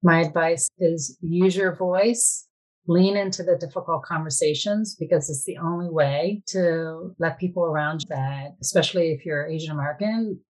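A woman's voice: on the low side, moderate pace, low-quality recording. A woman giving personal testimony in an interview, speaking in an earnest, plain-spoken and encouraging way. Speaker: interviewee, adoptive mother.

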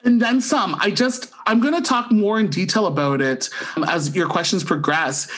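High-voiced, effusive man. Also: moderate pace, a bit bright. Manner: effusive, emphatic. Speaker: podcast co-host reviewing pop culture. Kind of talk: casual enthusiastic podcast review.

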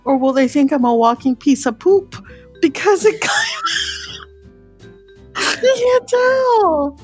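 A woman's voice: very high voice, sing-song, slow, soft background music. Chatty young woman co-hosting a podcast. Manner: chatty and giggly, reads guest intro. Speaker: podcast co-host. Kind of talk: casual chat.

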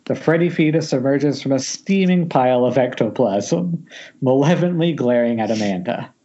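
A man with a mid-pitched voice narrates stage directions in an informal, wry way. The audio is dull and lo-fi, like an internet call. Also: normal speed, warm tone. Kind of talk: informal script table read narration.

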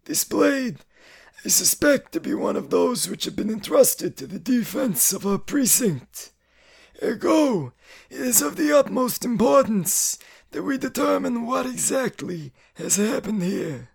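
An actor playing a grave older male guardsmith boss in a fantasy radio drama, husky, formal and deliberate with long pauses. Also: medium pitch, very animated, a little mellow, exaggerated voice. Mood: grave, measured. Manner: formal, deliberate, pausing between phrases.

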